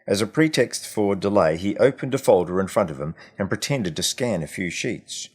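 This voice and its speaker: A man, an author reading a story aloud in an expressive storytelling style. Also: lowish voice, moderate pace, breathy edge. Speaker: author reading from his own book.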